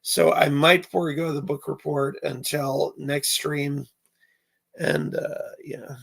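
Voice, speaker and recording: A man with a hoarse voice chatting casually with viewers on a live stream, rambling with many filler pauses. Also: a little high, flat delivery, a bit bright.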